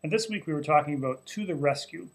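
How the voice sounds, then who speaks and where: A man's voice: fairly high pitch, fast talker, gravelly, husky. A man in his forties, a pastor, recapping a sermon to camera from a small office in an earnest, conversational way with many fillers. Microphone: webcam at desk, close framing.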